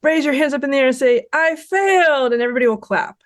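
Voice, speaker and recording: A podcast host asking calm, reflective questions over a video call. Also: high voice, fast talker, no echo, studio quality. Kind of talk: casual interview chat.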